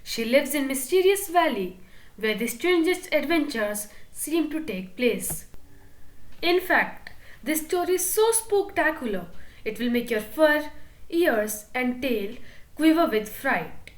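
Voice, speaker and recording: A woman reading a children's book aloud in an expressive, playful storytelling manner. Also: high voice, takes it slowly, roomy sound. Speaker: reader.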